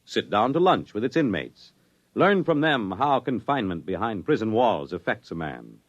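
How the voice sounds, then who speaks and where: A man's voice: on the low side, sing-song, moderate pace, highs cut off. A man with an American accent announcing in a dramatic, declamatory 1950s radio style. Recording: restored vintage radio recording.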